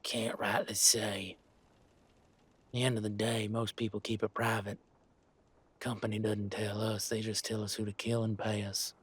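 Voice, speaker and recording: A man voicing a rough, cagey hired brigand who talks in a laconic, folksy, evasive way in a fantasy audio drama. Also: fairly low pitch, little pitch movement, normal speed.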